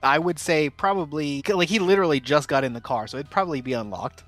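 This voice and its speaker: A man's voice: fairly high pitch, fast, a bit bright, no echo, faint music behind. A man acting as game master, narrating casually and improvising with players at a tabletop game.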